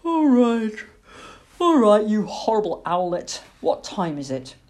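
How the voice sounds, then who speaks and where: A woman's voice: low voice, animated, a little slow. A woman voicing a sleepy, grumpy father barn owl in a children's story, blustering and pompous. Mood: grumpy, exasperated.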